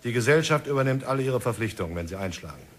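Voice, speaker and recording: A man's voice: mid-range voice, lively intonation, rapid-fire, close to an everyday voice. Company negotiator, played by a man, coaxing, jovial, radio drama. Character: smooth persuasive company negotiator, middle-aged man.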